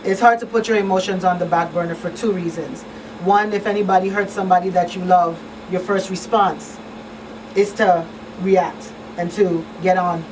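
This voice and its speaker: A woman's voice: on the low side, fairly even intonation, moderate pace. A middle-aged woman hosting a conversational radio talk show, speaking earnestly and repeating phrases for emphasis. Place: small radio studio. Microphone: boom-arm studio microphone. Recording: distant mic, faint room noise.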